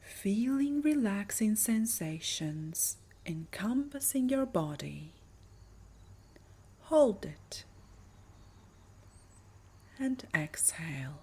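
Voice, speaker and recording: A woman with a soft, breathy voice narrating a guided meditation in a soothing, measured manner. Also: mid-range voice, sing-song. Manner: soothing, measured, breathy delivery with lingering pauses.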